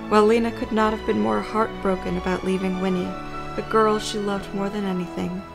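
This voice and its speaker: A woman reading scripted story narration for an audio drama in a measured, creaky voice. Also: mid-range voice, little pitch movement, music in the background.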